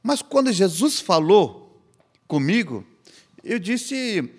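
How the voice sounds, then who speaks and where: A man's voice: sits high, very animated, slow. Indian man telling his story earnestly. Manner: earnest storytelling in simple, slightly broken Portuguese. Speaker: missionary guest. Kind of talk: personal testimony in a podcast chat.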